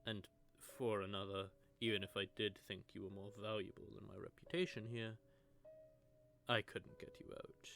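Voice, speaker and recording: Man playing a creaky-voiced, smug male vampire. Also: on the low side, normal speed, fairly bright tone. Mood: smug, taunting. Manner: teasing, drawling, conversational taunting. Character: smug, sardonic male vampire gloating over a captive hunter. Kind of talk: scripted audio roleplay monologue addressed to the listener. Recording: background music under the voice.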